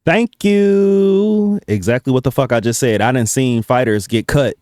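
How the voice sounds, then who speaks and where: A man's voice: mid-range voice, lively intonation, a little slow, a little mellow, no room sound, clean studio sound. Blunt man reacting in casual slang. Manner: blunt, heavy swearing, slangy. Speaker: reaction video host.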